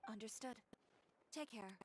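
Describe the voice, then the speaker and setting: An actress playing a proud, resolute young fashion designer in a video game, crisp and self-assured. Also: female voice, a little high, unhurried, a little hiss or hum, lightly acted, rough recording. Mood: cool. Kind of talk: dramatic video game dialogue.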